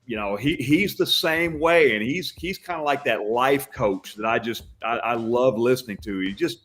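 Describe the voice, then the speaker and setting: An older man who works as a Santa, a guest on a podcast, talking in a loud booming voice with frequent restarts. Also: moderate pace. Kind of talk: casual podcast chat.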